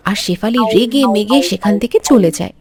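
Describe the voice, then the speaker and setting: A woman's voice: mid-range voice, fairly quick, a crowd in the background, natural voice. A woman giving scripted narration for a cartoon story.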